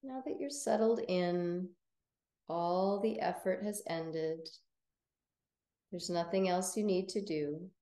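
A woman teaching yoga nidra, guiding relaxation in a gentle, soothing, unhurried manner with long pauses. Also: on the low side, roomy sound. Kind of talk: guided relaxation meditation.

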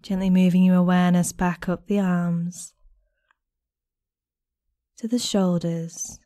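A woman guiding a meditation in a gentle, soothing, unhurried manner with long pauses between phrases. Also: on the low side, little pitch movement, no echo, clean studio sound. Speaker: guided meditation instructor. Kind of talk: guided body scan meditation narration.

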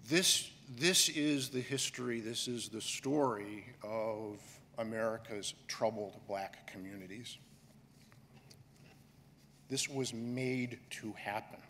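Slow, deliberate middle-aged man in a hall. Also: mid-range voice, fairly bright tone. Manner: slow, deliberate and emphatic, with pauses and gestures. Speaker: conference director giving a keynote. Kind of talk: keynote speech. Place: large auditorium stage, indoors. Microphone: podium microphone. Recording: reverberant hall with faint room noise.

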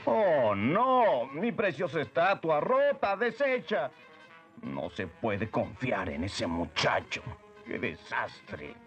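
A man's voice: a little high, huge pitch swings, takes it slowly, fairly bright tone, lo-fi sound, faint music behind, full character voice. A man with a Latin American accent playing a stern, exasperated middle-aged mentor in a cartoon dub, with dramatic delivery. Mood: dismayed.

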